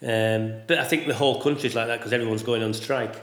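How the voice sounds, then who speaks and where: Loud-voiced farmer man, roomy sound. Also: mid-range voice, steady tone, quick pace. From England. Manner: loud voice, chatty, asks tag questions. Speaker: sheep farmer. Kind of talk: casual conversation.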